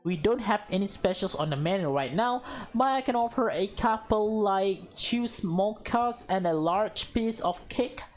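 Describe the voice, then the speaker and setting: A courteous café waiter from a visual novel, voiced by a woman reader, attentive and formal in the service patter. The pace is slow and music plays behind. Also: medium pitch, expressive, close to an everyday voice.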